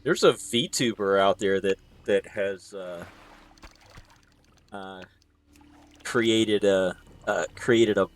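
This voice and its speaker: Male streamer co-host, lowish pitch, hesitant and halting in conversation. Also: slight background noise. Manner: hesitant, halting with many uh's. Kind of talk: casual gaming stream chat.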